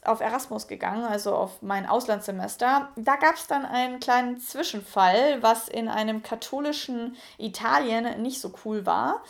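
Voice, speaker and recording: A woman's voice: a little high, gravelly. A woman in her late twenties talking casually and frankly to her channel viewers, with frequent fillers and restarts. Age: 20s. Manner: chatty, frank, many fillers. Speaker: YouTuber. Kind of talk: casual personal vlog.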